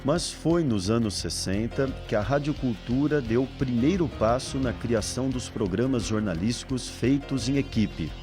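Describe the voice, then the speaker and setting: A man's voice: medium pitch, normal speed, few pauses. Brazilian man narrating over music. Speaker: documentary narrator. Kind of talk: scripted narration. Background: instrumental music.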